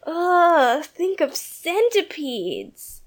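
Storybook little girl, breathy and eager, as a male reader puts her on. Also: very high-pitched, animated, takes it slowly, a bit bright, pitched above the performer's own voice, heavily acted. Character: young girl, earnest and squeamish.

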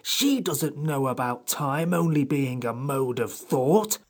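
A grumpy, sarcastic sand fairy for a storybook: slow, gravelly, husky, pompous drawl. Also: male voice, mid-range voice, lively intonation, pitched above the performer's own voice. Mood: withering sarcasm. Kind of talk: audiobook character voice.

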